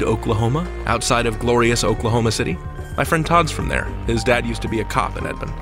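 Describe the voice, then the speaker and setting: Casting: male countdown-podcast host, very lively swooping delivery, mid-pitched, playful and theatrical, some music around the voice. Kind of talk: chatty podcast countdown and commentary.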